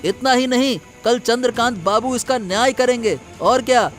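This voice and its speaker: A man's voice: extremely high pitch, moderate pace, faint music behind. A man voicing an aggressive village mob leader in a cartoon, abusive and commanding. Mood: threatening. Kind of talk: Hindi moral-story cartoon voice acting.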